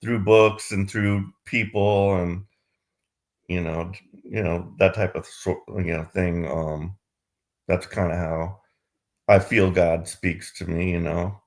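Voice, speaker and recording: A man chatting casually as a student in a spiritual discussion, tentative and halting as he gropes for words. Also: low voice, little pitch movement, vocal fry, darkish tone. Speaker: student taking part in a spiritual Q&A. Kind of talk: casual chat.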